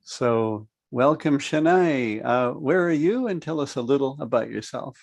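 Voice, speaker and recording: A middle-aged man hosting an online meditation class over a video call, speaking calmly and in a measured way. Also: medium pitch, creaky, no room sound, muffled recording. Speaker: host. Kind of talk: friendly online class introduction.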